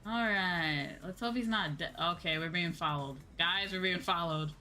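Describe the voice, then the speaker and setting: A woman's voice: fairly low pitch, moderate pace, sharp tone. A woman streaming a video game with casual live commentary, playful, gushing and teasing. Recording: game music behind.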